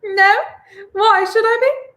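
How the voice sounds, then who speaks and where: A woman's voice: extremely high pitch, steady tone, slow pace, a bit bright, heavily acted. A young woman playing a feisty, prim heroine in a 1930s radio play, speaking emphatically. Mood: bright, coy. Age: young adult. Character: feisty, indignant young woman, handcuffed unwilling companion. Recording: roomy, echoing home recording.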